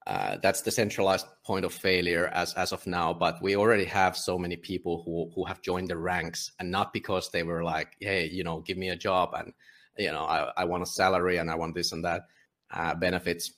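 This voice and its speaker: A Finnish man, a publisher and guest, talking casually in a conversational interview, often halting and restarting his sentences. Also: deep voice, level tone, fairly bright tone. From Finland. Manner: halting, many restarts and fillers.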